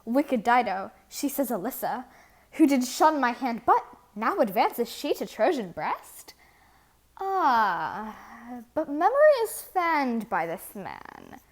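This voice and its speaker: A woman's voice: a little high, wildly swooping pitch, slow, exaggerated voice. A woman voicing an indignant, jealous young suitor prince in a declamatory reading of a verse libretto. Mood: jealous brooding. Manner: declamatory, aggrieved. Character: jealous, indignant spurned suitor prince pleading to his divine father.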